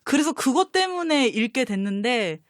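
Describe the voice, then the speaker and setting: A woman with a fairly high voice, recorded close and dry. She talks as a guest in a casual fan chat, effusive and gushing as she tells her stories. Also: big pitch swings, normal speed, dry recording. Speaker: talk show guest, comics publisher designer and voice-drama fan.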